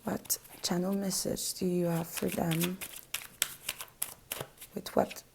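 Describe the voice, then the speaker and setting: A young woman with a French accent, a native French speaker, giving a spoken tarot reading in a soft, hesitant way, often dropping to a whisper and searching for words. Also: fairly low pitch, level tone, takes it slowly, creaky, background noise. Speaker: tarot reader.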